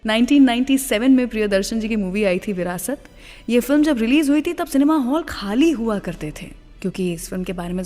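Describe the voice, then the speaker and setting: A woman's voice: fairly high pitch, darkish tone. A woman with an Indian accent hosting a radio show in a conversational storytelling style, mixing English phrases into her Hindi. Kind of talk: conversational scripted radio storytelling about film music. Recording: long reverb, music bed behind voice. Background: background music.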